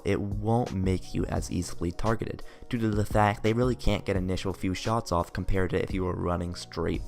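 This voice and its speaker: A young man with a raspy, tired voice giving earnest gaming tips in a late-night voice-over. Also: low voice. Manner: earnest, advice-giving, loose phrasing. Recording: faint music behind voice. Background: faint background music.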